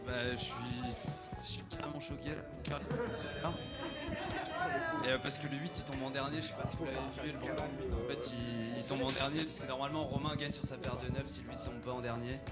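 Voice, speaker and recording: A man giving a spontaneous winner's interview, haltingly, often restarting and repeating his phrases. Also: mid-range voice, flat delivery. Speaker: tournament winner. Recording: loud music behind.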